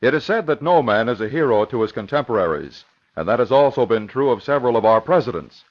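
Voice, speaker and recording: An American male announcer reads a scripted radio promo with lively, varied pitch. The recording is dull and old. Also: fast.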